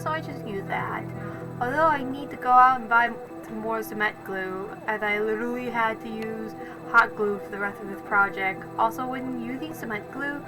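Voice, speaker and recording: A woman's voice: a little high, poor audio. A woman doing casual voice-over narration for a craft video, rambling and correcting herself as she goes. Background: music.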